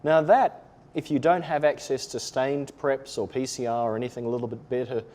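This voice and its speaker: A man with an Australian accent presenting a teaching webinar, explaining in a measured way with occasional ums. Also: medium pitch, steady tone, a little mellow. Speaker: lab trainer presenting a webinar. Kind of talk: webinar lecture.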